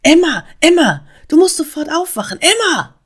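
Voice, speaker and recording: Woman voicing an excitable, high-pitched storybook frog. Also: normal speed. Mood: urgent. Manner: insistent, exclaiming, agitated. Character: excitable, urgent male frog friend in children's story.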